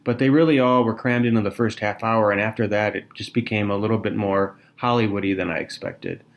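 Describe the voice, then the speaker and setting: A man's voice: fairly low pitch, brisk. A man co-hosting a podcast, chatting casually and thoughtfully. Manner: conversational, thoughtful, with fillers. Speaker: podcast co-host. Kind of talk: casual chat.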